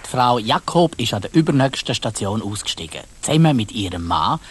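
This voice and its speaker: A man's voice: mid-range voice, sing-song, brisk, slight background noise. A man with a Swiss German accent narrating a children's story in a colloquial way, addressing his listeners directly.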